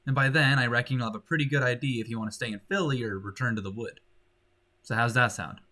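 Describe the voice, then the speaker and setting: A man acts out a frontiersman in an audiobook tale, plainspoken and weary. He talks in a folksy, hesitant, rustic way, earnest throughout. The voice has a bright edge. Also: medium pitch, fast, close to an everyday voice. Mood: gently persuading.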